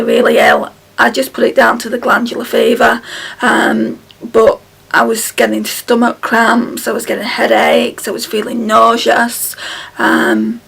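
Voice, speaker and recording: A woman in her thirties chatting to camera at home, her voice hoarse and croaky from illness, tired and halting with many ums. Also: on the high side, a bit bright. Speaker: beauty vlogger. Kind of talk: casual chat to camera. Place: small room at home, indoors. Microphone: camera close in front.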